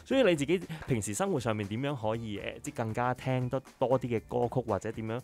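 A man in his thirties with a Hong Kong Cantonese accent hosts a casual studio interview. His voice sits at a medium pitch, with music playing behind him. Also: quick pace, a little mellow. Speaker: radio host, interviewer. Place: small radio studio, indoors. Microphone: studio microphone on boom, through face mask. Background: music in the background.

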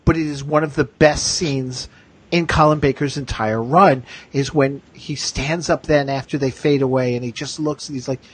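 A man co-hosting a casual pop-culture discussion with an enthusiastic, halting fan's delivery. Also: medium pitch, fairly even intonation, slightly rough. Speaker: podcast co-host.

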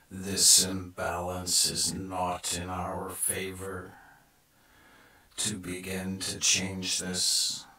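Slow, echoing low-voiced man guiding meditation. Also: bright, forceful tone. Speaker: guided meditation narrator. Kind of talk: slow scripted guided meditation narration. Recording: echoing, distant from the mic.

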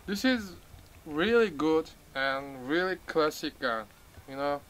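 A man's voice: a little high, lively intonation, a bit bright, a little hiss or hum, rough recording. Young man with a Turkish accent. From Turkey. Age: young adult. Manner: halting non-native English, laughs through takes. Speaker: first-time shooter presenting a gun. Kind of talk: casual gun-safety demo with retakes. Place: outdoors in a gravel field by a truck. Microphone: camera mic from a few meters.

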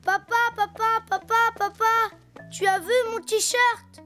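A very high, childlike voice for a little donkey boy in a preschool cartoon. He speaks slowly in playful, singsong phrases full of exclamations, cheerful and imaginative, with music playing behind him. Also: level tone, bright, forceful tone, full character voice. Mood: excited, pleased. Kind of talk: children's cartoon dialogue, talking to himself and viewers.

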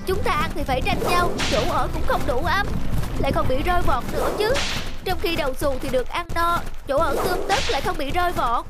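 A very high, envious young sled dog from a cartoon. The voice grumbles and exclaims in complaint. Clear music plays under the lines. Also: female voice, brisk. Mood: envious, striving. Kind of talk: animated cartoon voice acting.

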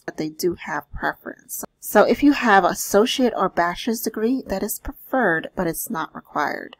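A woman doing an explanatory voice-over, reading out and paraphrasing listings in a deliberate, instructional manner with small check-ins. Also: on the low side, gravelly, no room sound, low-quality recording. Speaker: host of a job-leads channel.

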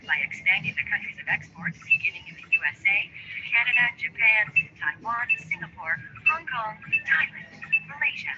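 A woman's voice: medium pitch, very animated, normal speed, very noisy. A woman's voice, likely synthetic, reading a formal corporate promotional narration. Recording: dull lo-fi with music bed.

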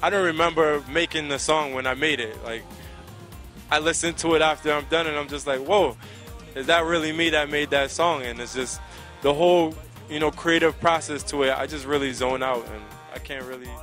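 A man's voice: on the high side, steady tone, normal speed, fairly bright tone, faint music behind. A man in his thirties with an American accent, answering interview questions in an earnest, conversational way into a handheld microphone outdoors. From Connecticut, United States. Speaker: soca singer being interviewed. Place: outdoors by a riverside. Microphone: handheld microphone held close to the mouth.

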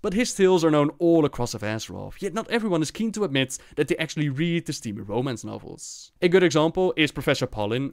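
Male storyteller, animated intonation, theatrical scripted narration, dry close recording. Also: a little high, no echo. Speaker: host and storyteller.

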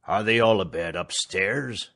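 A man's voice: lowish voice, takes it slowly, vocal fry, pushed and bright, full character voice. A man voicing a hearty, good-natured but self-important manservant in an audiobook, bluff and patronising. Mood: alert, bluff.

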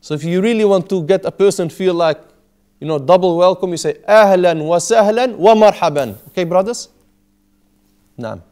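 A man's voice: high-pitched, normal speed, darkish tone, clean tone. A man in his thirties teaching a language class in a small classroom, patiently repeating and explaining each word. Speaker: Arabic teacher. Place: small indoor classroom with whiteboard.

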